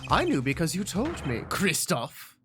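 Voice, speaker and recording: A streamer reading aloud the lines of a confident young male rock-star prosecutor during a game playthrough, in a smooth, self-assured way. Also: mid-range voice, huge pitch swings, a little slow. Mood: smug. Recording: game music behind the voice.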